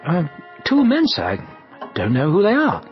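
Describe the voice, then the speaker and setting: A meek, underpaid clerk in a radio drama, hesitant and deferential. His intonation is lively, over clear background music on slightly dull audio. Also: sits high, moderate pace. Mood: meek, nervous. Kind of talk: radio play acting.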